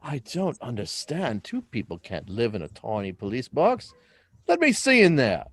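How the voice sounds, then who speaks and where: A man's voice: medium pitch, wildly swooping pitch, moderate pace. A man playing a curious, naive young man in a radio drama reading, hesitant and full of questions. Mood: curious, puzzled. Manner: wide-eyed, hesitant, questioning.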